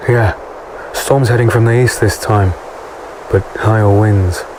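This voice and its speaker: A man's voice: on the low side, fairly even intonation, measured pace, noisy background, natural voice. A man playing an easygoing, teasing swordsman hero in an audio drama, speaking and narrating in a wry, conversational way. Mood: wry, relaxed. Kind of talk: audio drama dialogue and first-person narration. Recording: music bed behind the voice.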